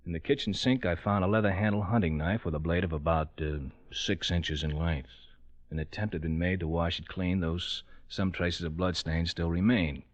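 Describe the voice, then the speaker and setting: An actor playing a stolid detective giving a formal, slightly halting report, in 1940s radio style. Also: male voice, low voice, lightly acted. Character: stolid, formal male police detective testifying. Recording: muffled vintage radio recording.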